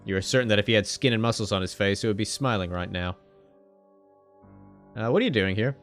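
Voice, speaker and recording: A man's voice: fairly low pitch, brisk, soft background music. A man streaming a visual novel, reading its text aloud with wry, joking asides. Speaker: gaming streamer.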